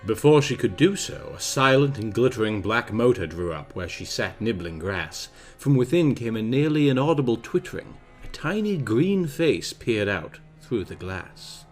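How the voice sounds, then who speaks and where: A man's voice: mid-range voice, very animated, moderate pace, hardly any pauses. A man gives a theatrical, precise, mock-grave dramatic reading of gothic rhyming verse. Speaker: narrator. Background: orchestral and jazz film soundtrack music.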